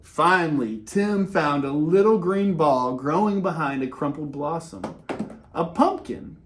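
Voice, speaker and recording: A man reading a children's picture book aloud in an expressive way, with dramatic pauses and casual, conversational delivery. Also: a little high. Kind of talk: animated read-aloud with casual asides.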